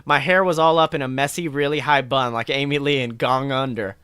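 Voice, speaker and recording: A man's voice: mid-range voice, steady tone, brisk, a bit bright, clean tone, dry recording, studio quality. A man co-hosting a podcast, giving a playful, mock-dramatic reading of a story with sardonic asides. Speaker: podcast co-host.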